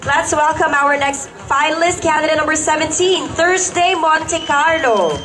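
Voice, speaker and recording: A woman hosting a live pageant question round, briskly announcing contestants and stretching out their names. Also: high-pitched, a bit bright, faint music behind. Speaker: pageant host. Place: live event stage at a mall. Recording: live event with crowd noise. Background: audience crowd.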